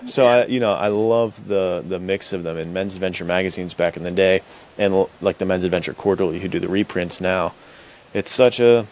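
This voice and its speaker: A man's voice: sits low, steady tone, a little fast, a little mellow, slight background noise. A man hosting a podcast in casual chat, speaking with slangy enthusiasm. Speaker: podcast host.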